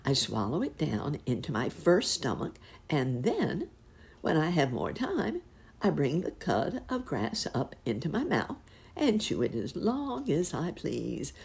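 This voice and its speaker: A worried storybook cow frets in a high, sing-song voice, as a woman puts her on. The tone swings widely and has a gravelly edge. She sounds anxious and mournful. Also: wildly swooping pitch, a little slow, a little mellow, heavily acted. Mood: explaining. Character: mournful fretful female cow.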